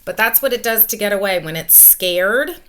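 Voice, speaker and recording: A woman in her forties, a teacher reading a picture book aloud to children, expressive and emphatic, with comments and questions along the way. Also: medium pitch, normal speed. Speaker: elementary school teacher. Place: small furnished room at home, indoors. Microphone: webcam or laptop microphone at arm's length.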